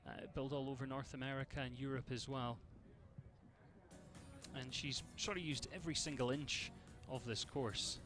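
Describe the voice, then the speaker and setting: A man's voice: mid-range voice, steady tone, normal speed, music in the background. Chatty Scottish man commentating show jumping. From Scotland. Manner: chatty, filler-heavy, greets viewers by name.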